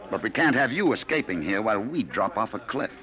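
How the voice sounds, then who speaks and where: An actor in a 1950 radio drama playing a cold, patient, menacing male agent from the future who talks smoothly and quietly threatens. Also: on the low side, big pitch swings, brisk, highs cut off, exaggerated voice. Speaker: Sims, time-travel enforcer.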